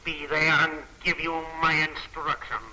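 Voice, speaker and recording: An actor playing a cold, commanding crime boss in a 1940s film serial, giving curt, declamatory orders as if over a radio speaker. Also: male voice, on the high side, steady tone, measured pace, a crowd in the background, low-quality recording. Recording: hissy old 1940s film soundtrack.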